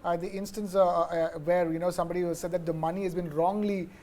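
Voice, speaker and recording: A man with an Indian accent commenting off the cuff as a news panelist, with halting starts and repeats. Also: high voice, little pitch movement, dark tone.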